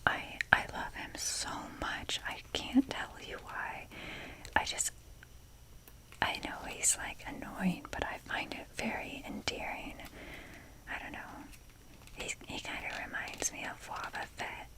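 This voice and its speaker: Female ASMR creator, whispered, slow delivery; casual, rambling, self-deprecating, mild swearing. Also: pushed and bright, rough recording.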